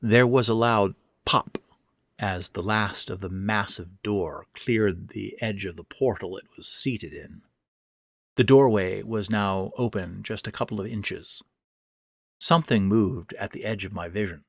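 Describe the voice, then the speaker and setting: A man's voice: fairly low pitch, measured pace, creaky, no room sound, studio quality. A man reading his own first-person horror fiction as narrator, in a dramatic, suspenseful way. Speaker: author and narrator.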